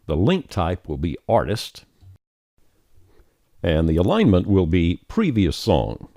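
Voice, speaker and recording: A man narrating a software tutorial step by step in a folksy, conversational way. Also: low-pitched, no room sound, studio quality. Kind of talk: scripted tutorial narration, explaining steps on screen.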